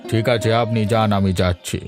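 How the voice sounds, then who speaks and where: Man as a low-voiced village doctor, music behind. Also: steady tone, brisk, close to an everyday voice. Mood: calm, advising. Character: kindly, matter-of-fact village doctor giving instructions. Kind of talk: Bengali moral-story cartoon dubbing. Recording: loud music under the voice.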